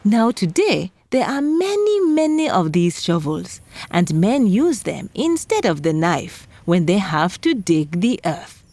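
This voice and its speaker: A woman's voice: mid-range voice, very animated, measured pace, a little mellow. A woman hosting a children's folktale podcast, telling a scripted story theatrically and speaking straight to young listeners.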